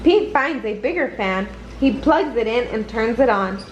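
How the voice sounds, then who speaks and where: A woman reading a children's picture book aloud in a deliberate, expressive storytelling manner. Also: fairly high pitch, dull audio, a little hiss or hum. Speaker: storybook reader.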